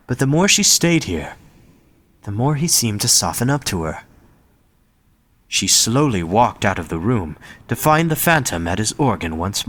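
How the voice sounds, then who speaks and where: Low-voiced, expressive male story narrator.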